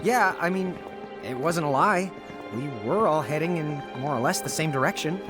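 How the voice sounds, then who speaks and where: A man's voice: a little high, normal speed, close to an everyday voice. A man playing a laid-back, goofy young hero in a video game, casual and slangy. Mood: puzzled. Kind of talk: video game cutscene acting. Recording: loud music behind the voice.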